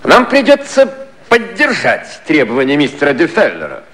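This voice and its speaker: An actor playing a firm, formal American envoy in a satirical 1950s radio play, declaiming in an official manner. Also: male voice, fairly high pitch, huge pitch swings, moderate pace, a bit bright.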